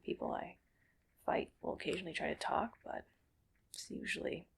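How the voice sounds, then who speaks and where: A woman playing a gentle, weary cyborg soldier in a whispered ASMR roleplay, speaking breathily in slow, halting, soothing phrases. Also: fairly low pitch, creaky, a little hiss or hum. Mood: soft, reflective.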